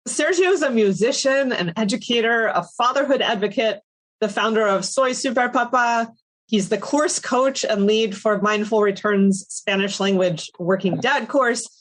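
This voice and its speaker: A woman's voice: fairly high pitch, normal speed, poor audio. A woman co-hosting a podcast, chatty and upbeat, speaking while she has a cold. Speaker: podcast co-host.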